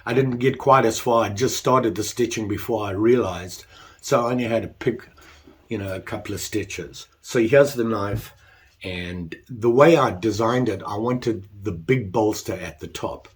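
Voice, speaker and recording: A man's voice: lowish voice, moderate pace. An older man talking casually about his leatherwork, congested while getting over the flu, self-deprecating and rambling. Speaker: leatherwork vlogger. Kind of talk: casual workshop chat.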